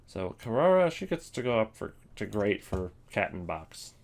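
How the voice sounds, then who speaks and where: A man's voice: lowish voice, big pitch swings, moderate pace. A man giving casual, unscripted commentary as a host, rambling and thinking aloud as he goes. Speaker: host ranking video game voice lines.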